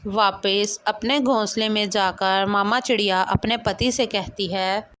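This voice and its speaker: A woman's voice: medium pitch, steady tone, slow pace, fairly bright tone, a little hiss or hum. Woman narrating a children's story.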